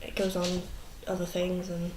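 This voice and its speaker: Flat-toned young woman in an echoey room. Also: fairly low pitch, moderate pace. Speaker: young mother being interviewed. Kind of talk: candid documentary interview. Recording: echoey room with faint background noise.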